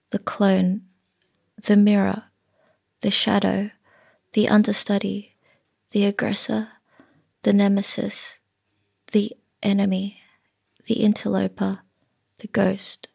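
A woman reading her own literary story in a measured way, often dropping to a breathy near-whisper. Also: mid-range voice, warm tone, dry recording, clean studio sound.

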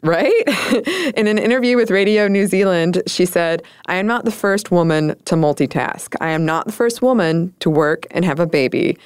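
A woman's voice: lowish voice, moderate pace, hardly any pauses, smooth, no echo. A woman co-hosting a casual podcast, chatty and thoughtful, laughing often. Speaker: podcast co-host.

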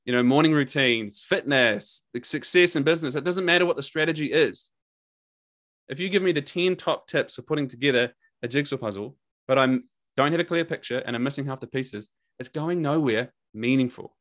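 This is a New Zealand man guesting on a podcast and talking about his coaching work. He speaks candidly and with energy at a middling pitch. He swears freely and stacks repeated words for emphasis. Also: brisk, a bit bright. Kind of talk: casual podcast conversation.

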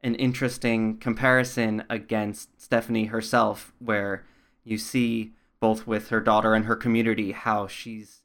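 A man's voice: on the low side, little pitch movement, a little slow, fairly bright tone. Man interviewing in a conversational tone. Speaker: podcast interviewer.

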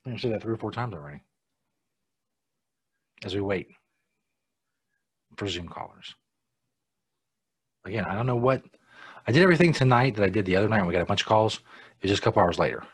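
A man's voice: on the low side, lively intonation, brisk, long pauses, slightly rough, no echo. An American man hosting a live podcast, chatting casually with a profane, sardonic tone and muttered asides. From United States. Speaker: podcast host. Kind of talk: casual live show chat.

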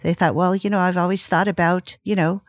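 A woman's voice: lowish voice, level tone, a little fast, no room sound. Casual woman podcast host, dry close sound. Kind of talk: casual conversational podcast talk.

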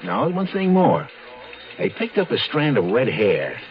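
An actor playing a matter-of-fact, casual homicide detective in a 1970s radio drama. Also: male voice, medium pitch, wildly swooping pitch, a little slow. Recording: dull old radio recording.

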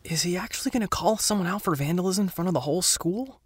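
Sardonic teenage boy, swooping pitch. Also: lively intonation, quick pace, breathy edge, no echo, clean studio sound, faint music behind. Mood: deflated, sarcastic. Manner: sardonic, sighing, exaggerating. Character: wry, sarcastic teenage boy next door, grumbling and cynical. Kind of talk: naturalistic audio drama acting.

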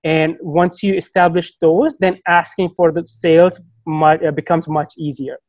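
Guy on a podcast mic, thinks out loud, lots of restarts, dead dry audio. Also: a little high, steady tone, no room sound. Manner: thoughtful, halting, restarts often. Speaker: podcast guest. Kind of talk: casual conversation.

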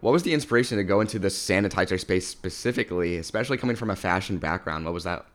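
A man's voice: low voice, very fast. A man hosting a podcast, reading a scripted intro, then asking questions with short encouraging replies. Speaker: podcast host. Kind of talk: podcast interview.

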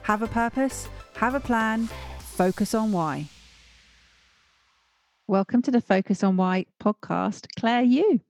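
A woman hosting a podcast, reading a scripted intro and then interviewing her guest in a measured, emphatic way. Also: a little high, animated, darkish tone. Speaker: podcast host. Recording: dry close audio with faint music.

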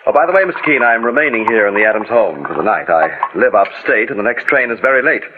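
An actor playing a quick, defensive, smooth-talking murder suspect in a 1940s radio mystery. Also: male voice, mid-range voice, lively intonation, close to an everyday voice. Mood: polite, brisk. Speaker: supporting actor in radio drama. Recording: narrow-band, noisy 1940s radio recording.

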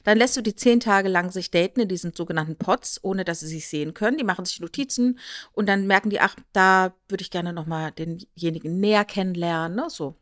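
Eine Frau mit deutschem Akzent plaudert als Podcast-Co-Moderatorin, erklärt erzählend, spielt Zitate nach und sucht mit kleinen Nachfragen Zustimmung. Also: female voice, fairly low pitch, brisk, no echo, studio quality. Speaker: podcast co-host. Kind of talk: casual podcast chat, explanatory retelling.

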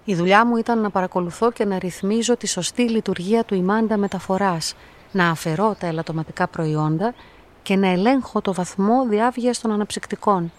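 An actress playing a quiet, lonely young woman who tells her own story in a radio play, in a calm, measured, reflective way. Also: mid-range voice, close to an everyday voice. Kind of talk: radio play monologue, literary first-person narration.

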